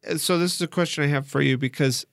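Man, podcast host, fairly high voice, dry studio sound, conversational interviewing style. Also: fast talker, gravelly, fairly bright tone, no echo.

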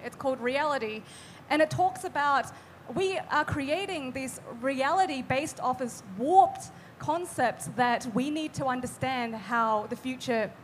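A woman's voice: sits high, a little hiss or hum. A young woman from New Zealand, a guest artist, talking earnestly on a live event panel with many fillers and restarts.

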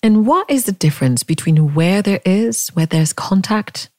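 A woman leading a guided meditation, speaking in gentle, inviting questions with long pauses between phrases. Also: medium pitch, expressive, no room sound, studio quality. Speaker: meditation teacher.

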